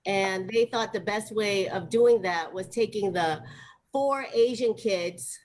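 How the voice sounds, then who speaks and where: A woman's voice: mid-range voice, slow, a little mellow. A young American woman from Ohio doing chatty stand-up storytelling at an online open mic, with lots of fillers and frequent laughs. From Ohio, USA. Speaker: stand-up comic. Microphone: video call. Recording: faint background noise, video call.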